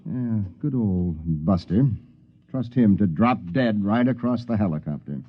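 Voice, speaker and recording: An actor playing a wry, easygoing male time traveler in a 1950s radio drama, animated in delivery. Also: on the low side, measured pace. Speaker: Wes, time traveler stranded in prehistory. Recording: dull lo-fi 1950s radio broadcast.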